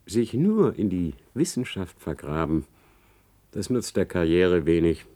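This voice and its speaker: Spiteful, haughty low-voiced academic. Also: male voice, big pitch swings, unhurried, warm tone, exaggerated voice. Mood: coldly threatening. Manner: haughty, biting, emphatic. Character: spiteful, scheming male academic, arrogant and vindictive. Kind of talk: German radio play acting.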